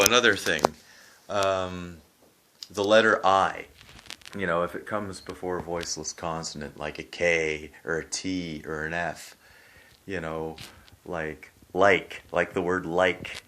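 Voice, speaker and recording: A man's voice: low-pitched, fairly bright tone, a little hiss or hum. A young man from Canada with a Canadian accent, chatting off the cuff to his webcam at home and filling his talk with "you know" and "right". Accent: Canadian, southern Ontario. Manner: rambling, many fillers like "you know" and "right". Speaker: vlogger. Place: room at home, dim lighting.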